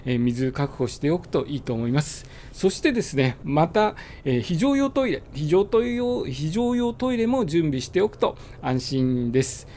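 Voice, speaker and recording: A man talking casually to the camera while riding a motorbike, explaining calmly with many fillers, through a helmet mic. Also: on the high side, a little hiss or hum. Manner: relaxed, filler-heavy, explanatory. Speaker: motovlogger. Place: riding a motorbike on suburban roads.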